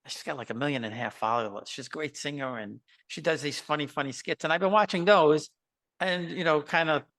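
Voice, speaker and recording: A man hosts a casual interview conversation. He speaks haltingly and often restarts his sentences while he works out what to ask. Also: fairly high pitch, a bit bright. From Queens, New York.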